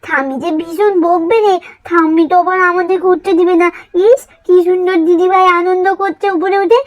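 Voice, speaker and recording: A woman's voice: extremely high pitch, fairly even intonation, brisk, muffled recording, pitched above the performer's own voice. A woman voicing playful, pleading little village sisters who repeat their phrases insistently in a children's doll-story cartoon. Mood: excited, eager.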